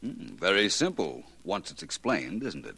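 An American actor playing a shrewd, self-assured gentleman detective in a 1940s radio mystery, suave, deliberate and theatrical. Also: deep voice, huge pitch swings, creaky, breathy edge, full character voice. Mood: smooth, probing.

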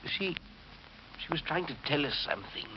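High-pitched, jumpy manservant played by a man. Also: animated, normal speed, natural voice. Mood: hushed dread. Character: frightened, deferential manservant, earnest and jumpy. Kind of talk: 1940s radio mystery acting. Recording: muffled, noisy old radio broadcast.

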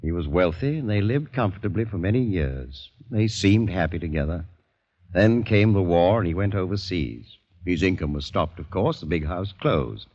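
An actor playing a calm, worldly older man in a 1940s radio drama, deliberate, insinuating and quietly probing. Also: sits low, slightly rough, exaggerated voice. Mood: storytelling. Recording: muffled old radio broadcast recording.

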